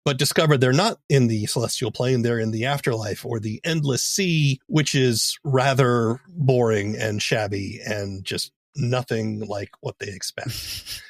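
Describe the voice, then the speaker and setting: A man's voice: medium pitch, measured pace, no room sound. A man acting as interviewer, asking questions and explaining stories in a conversational way.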